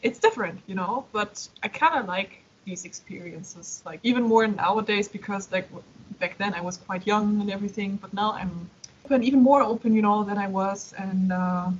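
A woman's voice: mid-range voice, moderate pace, slightly rough. A young woman guest on a podcast, chatting casually and animatedly, full of "like" and "you know". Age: young adult. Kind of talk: casual interview chat. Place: Berlin. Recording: dull lo-fi sound.

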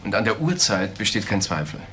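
An actor playing a direct, insistent investigator in a German crime radio drama. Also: male voice, fairly low pitch, sing-song, brisk, a bit bright, slight background noise.

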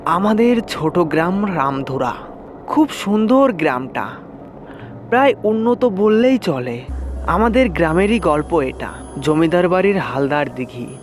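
A man's voice: high-pitched, sing-song, a little slow. A man telling a scripted first-person horror story as a channel narrator, with music behind him. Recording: music under the voice.

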